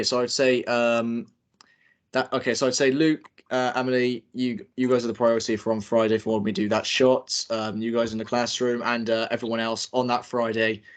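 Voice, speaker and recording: A young man directing a student film, talking hesitantly with many fillers. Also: medium pitch. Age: young adult. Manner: hesitant, full of fillers and restarts. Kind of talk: casual planning call.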